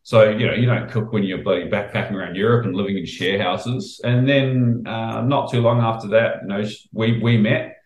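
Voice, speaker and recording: Chatty New Zealand man, low voice. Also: normal speed, hardly any pauses, roomy sound. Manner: relaxed, rambling, frequent fillers and restarts. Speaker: chef guest. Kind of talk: casual interview chat.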